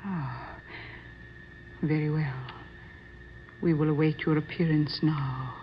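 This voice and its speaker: An actress playing an imperious, solemn middle-aged séance medium in a radio drama, with formal, commanding delivery. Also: female voice, deep voice, steady tone, slow. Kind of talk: old-time radio drama acting. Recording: dull lo-fi radio recording, faint hiss.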